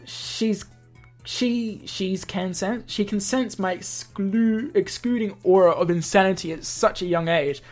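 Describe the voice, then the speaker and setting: A man's voice: high voice, measured pace. A man hosting a gaming video, reading a visual novel aloud with casual asides and correcting himself as he goes. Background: music.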